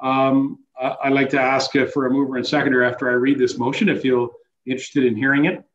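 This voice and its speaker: A man's voice: medium pitch, flat delivery, fast talker, fairly bright tone. A man serving as mayor, reading a council motion and calling the vote over a video call. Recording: echoey muffled video call with faint noise.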